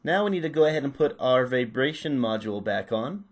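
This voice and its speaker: A man with a medium-pitched voice presents a practical tutorial. He explains each step casually and without a script, talking as he works. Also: lively intonation, a little fast. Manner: unscripted, narrating while working. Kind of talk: casual step-by-step hands-on instruction.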